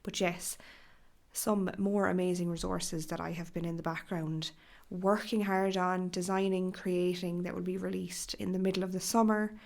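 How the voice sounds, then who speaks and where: Irish woman, podcast host, hoarse and crackly from a cough, gentle and chatty. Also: lowish voice, level tone, normal speed. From Ireland. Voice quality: crackly, breathy in places. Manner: gentle, chatty, with fillers and restarts. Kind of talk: solo podcast talk.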